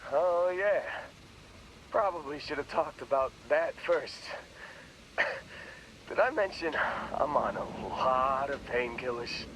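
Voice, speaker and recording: An actor playing a groggy young space miner in a video game, talking in a wry, rambling, hesitant way. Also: male voice, mid-range voice, animated, slow, long pauses, a bit bright, slight background noise, poor audio. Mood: sheepish, wry. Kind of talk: video game character dialogue.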